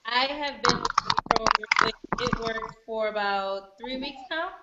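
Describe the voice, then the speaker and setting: A woman's voice: on the high side, takes it slowly, reverberant, muffled recording, very noisy. A woman from Brooklyn, briefly introducing herself on a video call. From Brooklyn, New York. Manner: brief, casual self-introduction. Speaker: new distributor on a call.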